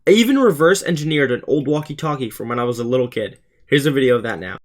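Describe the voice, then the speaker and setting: A man's voice: medium pitch, expressive, fast talker. A teenage male student narrating a scripted explainer for his video channel, earnest and plainly presented. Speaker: student YouTuber presenting a school project.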